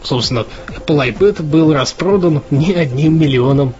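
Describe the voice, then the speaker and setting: A man with a fairly high voice co-hosts a casual podcast, chatting loosely and circling back to rephrase himself. The recording sounds dull and lo-fi, and faint music plays underneath. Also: normal speed, a little mellow. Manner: chatty, many fillers and restarts. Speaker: podcast co-host. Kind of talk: casual news podcast chat.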